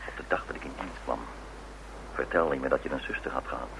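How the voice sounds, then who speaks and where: Very deep-voiced, deadpan detective. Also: male voice, quick pace, creaky, full character voice. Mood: cool, accusing. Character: cool, terse male private detective, confronting suspects. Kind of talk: radio play acting. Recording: noisy old radio drama recording.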